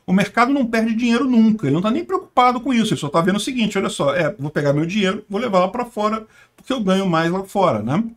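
A man's voice: high voice, expressive, quick pace, few pauses. A middle-aged man from Brazil hosting casual political commentary, sarcastic and emphatic with scornful asides, talking at a desk in a small room. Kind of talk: casual political commentary over screen share.

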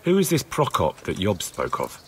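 Casting: curious young medieval man for a game, lowish voice, very varied intonation, respectful and plain-spoken. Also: wildly swooping pitch, moderate pace, slightly rough, exaggerated voice. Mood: puzzled. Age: young adult. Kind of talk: video game dialogue acting.

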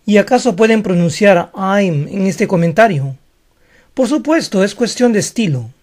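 A man's voice: high-pitched, animated, moderate pace, darkish tone. A man whose first language is Spanish, giving an online language lesson in a frank, defensive, explanatory manner. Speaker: English teacher.

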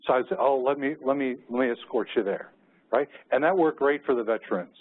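A man's voice: medium pitch, fairly quick, husky. A middle-aged American man giving a conference keynote, telling personal anecdotes as a storyteller. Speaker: keynote speaker. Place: conference room with windows. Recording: muffled, phone-like narrow-band audio.

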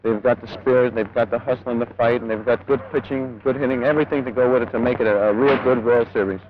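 A man's voice: medium pitch, steady tone, fast. A man, a bat boy answering questions in an informal interview. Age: 20s. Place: outdoors at an empty ballpark dugout. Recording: dull 1950s newsreel sound, faint noise.

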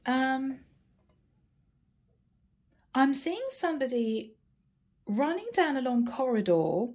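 Chatty woman reading tarot, slightly hoarse. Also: fairly high pitch. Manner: chatty, warm address to viewers, frequent "guys" and "okay". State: slightly hoarse, losing her voice. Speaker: tarot reader. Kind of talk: casual tarot reading to camera. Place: indoor home room, table with plants.

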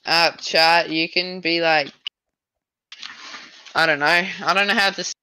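A man's voice: on the high side, fairly even intonation, unhurried, sharp tone, clean tone, dry recording, rough recording. A teenage boy chatting on a friend's gaming livestream, giggly and playful, acting mock offended. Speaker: co-host friend on stream. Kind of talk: casual gaming livestream chat.

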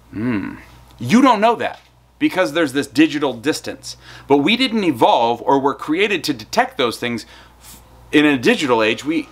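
Animated bearded lecturer man teaching outdoors. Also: fairly high pitch, husky. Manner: animated explaining, demonstrates on guitar and whiteboard. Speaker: psychology lecturer. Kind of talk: recorded lecture. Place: outdoors in a backyard patio and garden.

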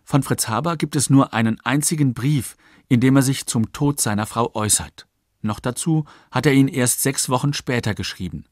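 Measured male documentary narrator, dry sound. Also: medium pitch, darkish tone, no room sound. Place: studio.

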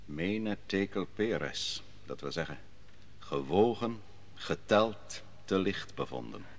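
An actor playing the prophet Daniel, a grave and calm seer, speaking in a measured, solemn way in a vintage radio drama. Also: male voice, fairly low pitch, gravelly, slight background noise, exaggerated voice.

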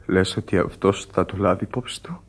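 An actor playing a weary, feverish dying sailor in a Greek radio drama, halting and drifting toward whispers, full of regret. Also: male voice, low voice, husky. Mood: fearful, pained. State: weak, in pain. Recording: radio play with music underneath. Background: music.

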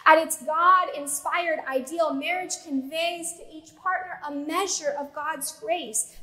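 A woman in her thirties with a high, light voice preaches from the pulpit of a church. She speaks in a measured way, slowing down and pausing to stress key words. Her voice is picked up by a pulpit mic. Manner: measured preaching with slow emphatic pauses and gestures. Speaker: pastor. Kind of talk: sermon. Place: church sanctuary with pipe organ.

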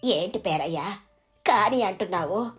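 A woman voicing a worried mother of grown sons, plaintive and exasperated, in an animated moral story. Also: mid-range voice, a little slow, soft background music, close to an everyday voice. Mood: worried, pleading.

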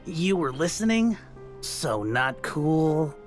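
An actor playing a whiny young slacker from a dark order in a game, slangy and plaintive. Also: male voice, on the high side, sing-song, takes it slowly, faint music behind. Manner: slangy, plaintive, laid-back.

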